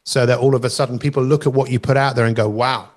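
A man's voice: medium pitch, steady tone, quick pace. A middle-aged man with a mid-range voice hosts a motivational session on an online call. He talks conversationally as a coach, landing points with emphasis and wandering into asides. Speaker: life coach and masterclass host.

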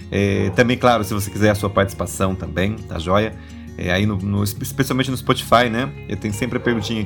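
A Brazilian man talking into his podcast mic with a stuffy, nasal voice from a cold. He chats easily, tossing in little tag questions as he goes, with soft music playing faintly underneath. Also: fairly low pitch. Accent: Brazilian Portuguese. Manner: chatty, warm asides, many tag questions. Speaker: podcast host. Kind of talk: casual religious podcast chat.

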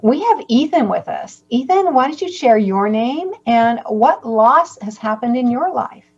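A woman hosting a video call panel, gently and encouragingly introducing each guest. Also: a little high, expressive, measured pace, husky, dull audio. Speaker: host. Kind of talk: moderating a panel conversation.

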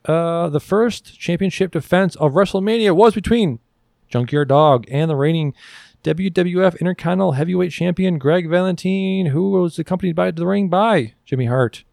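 A slightly high-voiced man co-hosts a casual podcast. He mixes read-out facts with irreverent, loosely profane banter. The sound is dry and close, with no room around it. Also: normal speed, vocal fry, darkish tone, dry recording. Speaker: podcast co-host. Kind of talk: casual banter, reading out facts between asides.

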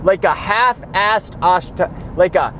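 A man's voice: high-pitched, slow, background noise. A tired young man hosting a rambling, profane solo podcast from his car, with many restarts and a voice he says he is losing. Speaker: podcast host. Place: car on the road.

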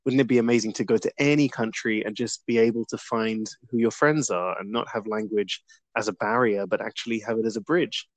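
A man's voice: on the low side, fairly even intonation, a little fast, no echo. A young man answers interview questions in an earnest, reflective way, with frequent ums. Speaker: interview guest.

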